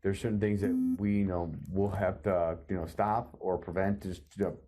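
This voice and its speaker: A man's voice: low voice, little pitch movement, normal speed, warm tone. A male police officer talking informally and matter-of-factly with witnesses, explaining procedure step by step. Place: police station lobby. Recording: noisy recording with clicks.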